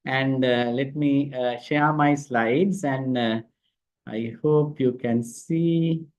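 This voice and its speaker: A man from Sri Lanka giving a keynote address over a video call, measured and dotted with fillers. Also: mid-range voice, fairly even intonation, a little mellow, highs cut off. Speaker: keynote speaker.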